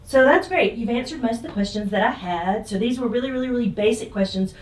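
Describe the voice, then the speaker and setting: A woman's voice: fairly high pitch, fast. A woman acting as interviewer, casually asking simple beginner questions, recorded with a roomy echo. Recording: roomy, echoing voice pickup.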